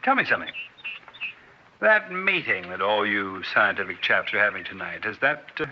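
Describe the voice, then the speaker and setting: A man's voice: lowish voice, very animated, moderate pace. An actor playing an intense, embittered man in a 1960s radio drama, emphatic and challenging. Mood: bitter, indignant. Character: intense, embittered non-scientist man with a grudge. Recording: muffled, noisy old radio recording.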